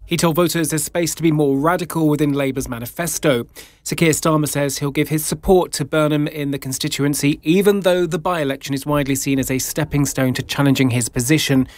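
A man reading a radio news bulletin in a measured, formal way. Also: on the high side, no echo. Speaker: newsreader.

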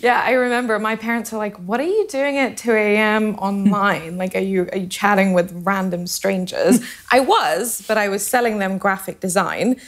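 A woman's voice: mid-range voice, normal speed. Talkative woman founder, interview guest. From India, raised in Singapore. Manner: open, talkative, with fillers. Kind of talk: radio interview.

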